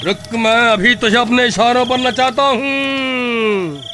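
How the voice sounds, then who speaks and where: A man's voice: slow pace, over music. A man voicing a pompous, bossy village sorcerer in a horror-comedy cartoon, imperious and given to chanting. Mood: commanding. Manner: booming chants and imperious commands.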